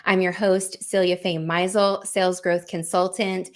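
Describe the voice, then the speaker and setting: A woman's voice: fairly low pitch, flat delivery, measured pace. A woman hosting a business podcast, reading an upbeat intro and asking interview questions with enthusiasm. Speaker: podcast host.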